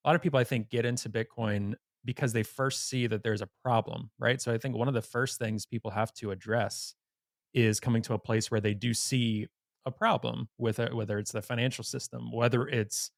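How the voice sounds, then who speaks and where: A man's voice: on the low side, dry recording, clean studio sound. A man hosting a podcast in an informal, blunt conversational manner. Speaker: podcast host. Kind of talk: conversational interview.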